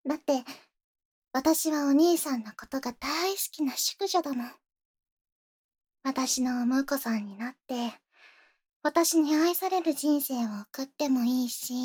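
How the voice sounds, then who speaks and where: A woman's voice: high voice, darkish tone. A woman playing a possessive, sweetly menacing young rich girl in a Japanese ASMR voice drama, with cutesy, coaxing phrasing and whispers.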